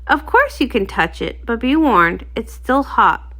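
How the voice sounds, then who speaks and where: Folksy, humble male pony warrior for a storybook, played by a woman, animated and earnest. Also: a little high, measured pace, full character voice. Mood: eager, proud. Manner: folksy old-fashioned speech.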